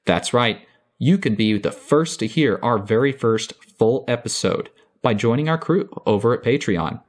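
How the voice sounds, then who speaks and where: A man hosts a podcast outro in a scripted announcer style. His voice sits at a middle pitch and moves with lively intonation as he reads credits and promotion. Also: normal speed. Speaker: podcast host and voice actor.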